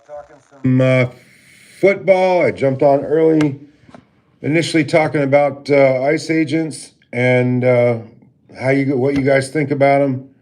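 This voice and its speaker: A man with a deep voice hosting a casual livestream, greeting viewers by name and talking with many halting filler pauses. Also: fairly even intonation, a little mellow. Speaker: livestream host. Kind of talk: casual live stream chat with viewers. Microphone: laptop mic.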